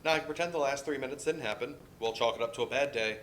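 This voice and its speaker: A man's voice: medium pitch, racing, a bit bright, slight background noise, close to an everyday voice. A man acting a stern, by-the-book office boss in a dramatic play reading.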